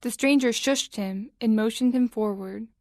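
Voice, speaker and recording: A woman narrates a dramatic tale in a slightly high voice. Her delivery is measured and suspenseful, recorded close and dry. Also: darkish tone, dry recording. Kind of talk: scripted story narration.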